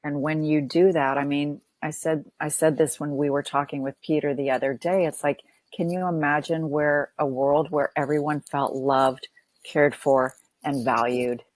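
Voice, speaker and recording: A woman's voice: deep voice, steady tone, moderate pace, no echo. A woman counselor speaking as a podcast guest, earnest and affirming, often repeating phrases as she builds a point. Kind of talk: podcast interview.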